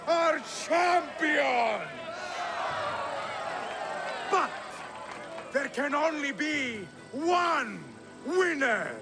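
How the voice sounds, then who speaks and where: An actor playing an arena announcer who makes drawn-out, shouted proclamations to a crowd in a video game. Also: male voice, extremely high pitch, lively intonation, over music, exaggerated voice. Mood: rousing. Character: booming male arena announcer, showman.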